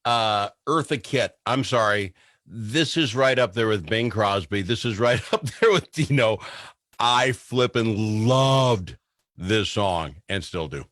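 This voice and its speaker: A man hosting a radio show, bantering about music in an animated, teasing, exclamatory way. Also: on the low side, slow, dry recording, clean studio sound.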